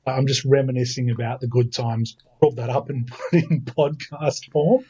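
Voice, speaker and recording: A man's voice: mid-range voice, fairly even intonation, normal speed, warm tone, husky, dull audio. A man in his thirties with an Australian accent, a podcast guest chatting casually and joking at his own expense, often restarting his sentences. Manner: chatty, self-mocking, frequent restarts. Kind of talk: casual chat.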